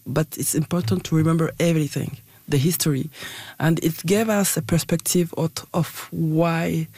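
A woman's voice: deep voice, mellow, no room sound. A woman from Niger, a Hausa speaker, speaking hesitantly in an interview, restarting words and using many fillers. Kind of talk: casual interview talk.

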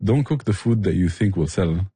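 Deep, dark-voiced man. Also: animated, normal speed, breathy edge, dry recording. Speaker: barbecue restaurant owner and chef, interview guest. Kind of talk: conversational interview answers.

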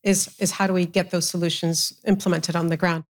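Woman moderating a panel, measured. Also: on the low side, level tone.

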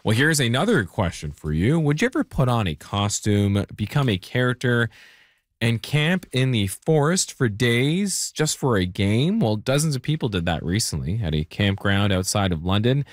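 A male radio host reading scripted links in a smooth presenter delivery. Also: medium pitch, big pitch swings, normal speed, no echo, studio quality.

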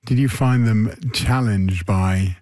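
Low, mellow male host. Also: slow. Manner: relaxed, with encouraging backchannels. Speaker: interviewer, host. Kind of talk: conversational interview.

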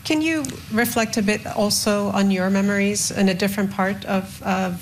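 A woman's voice: mid-range voice, steady tone, moderate pace, a little hiss or hum. A woman hosting a panel who asks the guests long questions by name. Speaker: host. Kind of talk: moderating a panel discussion.

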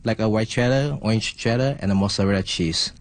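A man's voice: on the low side, fairly quick, no echo. Man explaining his recipe. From Hong Kong. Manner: earnest, explanatory, with small grammar slips. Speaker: guest chef. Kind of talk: explaining a recipe in a studio interview.

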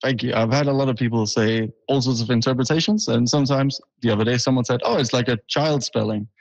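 A man's voice: medium pitch, quick pace, no echo. A man from Denmark, a band member, answering in an easygoing, wry way. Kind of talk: casual interview answers. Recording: slightly dull sound.

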